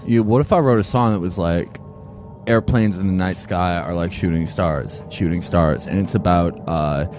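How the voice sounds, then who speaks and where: A man's voice: sits low, moderate pace, a little mellow, soft background music. A brash man improvising comic role-play in street slang as a player in a tabletop game.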